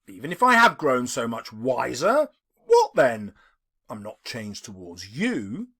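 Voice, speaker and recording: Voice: medium pitch, huge pitch swings, slow, a bit bright, voice pushed down, full character voice. A man voicing an impatient, defensive man in the prime of life in an audiobook. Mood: defensive impatience.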